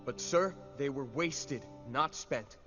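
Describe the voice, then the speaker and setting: An actor playing an earnest, troubled young male soldier in an animated sci-fi drama, halting and deferential in the way he talks. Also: mid-range voice, over music, natural voice. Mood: troubled, protesting.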